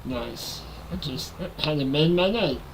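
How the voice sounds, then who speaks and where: A man's voice: on the high side, unhurried, a little hiss or hum, rough recording. A man in his forties with a nasal voice, chatting casually with viewers on a live stream while playing a game on a headset mic. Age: 40s. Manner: relaxed, talks to chat while playing.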